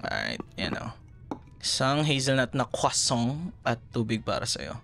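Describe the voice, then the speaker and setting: A man's voice: mid-range voice, huge pitch swings, a little slow, gravelly, fairly bright tone, soft background music, heavily acted. A Filipino man playing a chatty, teasing café worker in a casual, naturalistic boyfriend roleplay audio. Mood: playful, teasing. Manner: chatty, playful, casual conversational.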